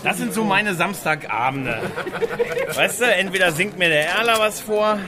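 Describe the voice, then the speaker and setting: A man's voice: sits high, expressive, moderate pace. A man hosting a casual live podcast in a pub, joking and laughing often. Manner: joking, laughs often, puts on mocking voices. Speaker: podcast host. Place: pub with a crowd. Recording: crowd chatter in a pub.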